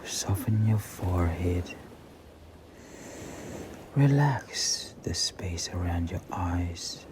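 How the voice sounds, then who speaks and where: A man guiding a meditation in a soothing, breathy near-whisper with long pauses. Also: deep voice, expressive. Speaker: meditation guide. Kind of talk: slow guided meditation. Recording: clearly audible background ambient noise.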